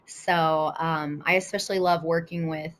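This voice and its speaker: A woman's voice: low voice, fairly even intonation, moderate pace, fairly bright tone. A woman with an American accent, a teacher presenting an informal, conversational online tutorial. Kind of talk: casual webinar demonstration. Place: live online video session.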